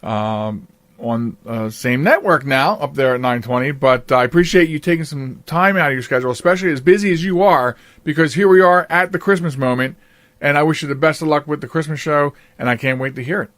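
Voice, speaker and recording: A man's voice: on the high side, fairly quick, a bit bright, no echo. A man hosting a podcast, chatting casually with a guest in a chatty, rambling way. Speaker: podcast host. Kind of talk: casual interview chat.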